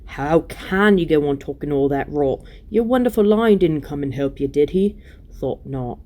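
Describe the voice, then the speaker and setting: A woman voicing a surly, stubborn male dwarf in a storybook reading, grumbling gruffly and scornfully in colloquial speech. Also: deep voice, lively intonation, normal speed, a little mellow. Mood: indignant.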